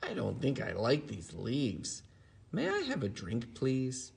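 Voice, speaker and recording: A man voicing an eager, easily bored little teddy bear in a bedtime storybook, with expressive questions and exclamations. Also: mid-range voice, slow, vocal fry, slightly rough, full character voice. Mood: grumbling. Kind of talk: bedtime storybook read-aloud.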